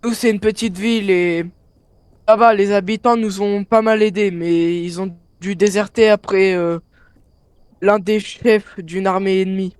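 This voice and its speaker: A man's voice: high voice, fairly even intonation, unhurried, smooth, no echo. A man improvising hesitantly in a game roleplay as a police squad survivor talking over the radio. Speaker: player in a zombie survival roleplay.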